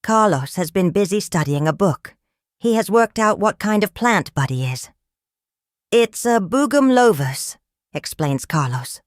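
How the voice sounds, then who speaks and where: A woman reading a children's story aloud in an expressive storytelling manner. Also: fairly low pitch, moderate pace, no echo, studio quality. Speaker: storybook reader. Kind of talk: scripted read-aloud narration of a children's story.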